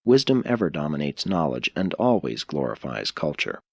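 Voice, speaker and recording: A man reading a philosophical religious audiobook in a measured, solemn, formal way. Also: rumbling low, big pitch swings, breathy edge, no room sound. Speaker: audiobook narrator. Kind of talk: scripted audiobook narration of a philosophical religious text.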